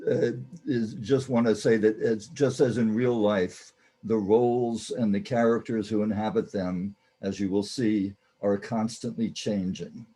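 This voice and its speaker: A man hosting and narrating a radio play introduction in a deliberate, formally theatrical manner. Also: on the low side, fairly even intonation, dark tone, husky. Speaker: host, narrator.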